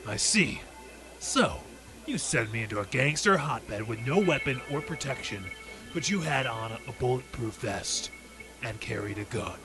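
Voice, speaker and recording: A determined detective, acted by a man, with a middle-pitched voice and an earnest radio-play delivery. Music sits clearly behind him. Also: animated, measured pace, close to an everyday voice, rough recording. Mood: shocked, indignant. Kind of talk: old-time radio drama acting. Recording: music bed behind dialogue.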